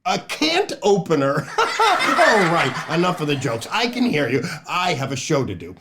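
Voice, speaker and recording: A man's voice: a little high, wildly swooping pitch, a little slow, very noisy. An older man, a children's entertainer hosting a storytime show in a playful, exaggerated manner. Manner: playful, exaggerated, often chuckling at own jokes. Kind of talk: animated children's show hosting and read-aloud.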